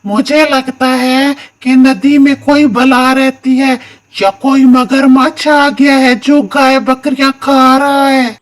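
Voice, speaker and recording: A man's voice: extremely high pitch, level tone, slow pace. A man playing an honest, fearful village herdsman in a children's cartoon story, speaking in an earnest, plaintive manner.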